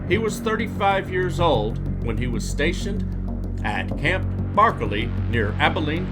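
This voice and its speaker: A man reading a scripted documentary narration in a measured, factual storytelling manner. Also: medium pitch. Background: music.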